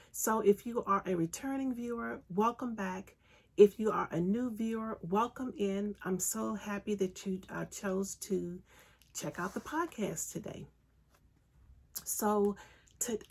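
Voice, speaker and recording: Raspy, congested woman podcast host. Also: mid-range voice. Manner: chatty, enthusiastic, frequent ums. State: raspy, congested voice after illness. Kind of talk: casual solo podcast chat.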